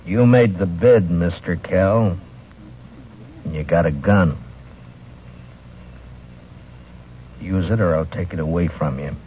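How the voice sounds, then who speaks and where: Low-voiced, terse Old West marshal. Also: male voice, measured pace, slight background noise. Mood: menacing calm. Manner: terse, hard-boiled. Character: tough, weary lawman marshal of the Old West. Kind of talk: old-time radio drama acting, with first-person narration. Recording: muffled old radio recording with music.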